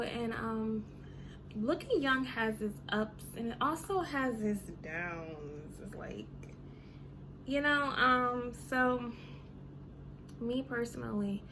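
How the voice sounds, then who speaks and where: Chatty woman in her thirties, high voice. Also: background noise. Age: 30s. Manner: chatty, many fillers like "you know" and "um". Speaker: vlogger. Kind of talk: casual rant to camera.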